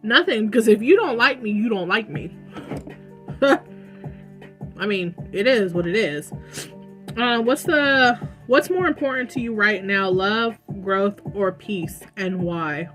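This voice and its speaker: Chatty young woman with a loud, bright voice. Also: medium pitch, lively intonation, slow pace, faint music behind. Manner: chatty, says "like" often. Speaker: vlogger answering viewer questions. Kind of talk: casual Q&A vlog. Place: room at home with dogs.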